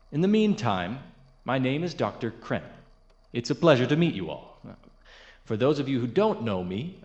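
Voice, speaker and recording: An actor playing a courteous, dismissive chemistry professor in an audio drama, speaking in a formal, measured, gently condescending manner. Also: male voice, medium pitch, muffled recording, natural voice. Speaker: substitute lecturer. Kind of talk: audio drama, lecture and office talk.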